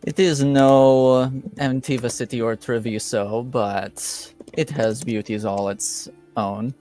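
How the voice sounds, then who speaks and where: A formal, reserved lord from a tabletop fantasy game, played by a man. He talks slowly and stiffly, choosing courtly words in a clean voice, with music underneath. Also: mid-range voice, steady tone, a little mellow, natural voice. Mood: guarded, polite. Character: formal, reserved nobleman proud of his home city.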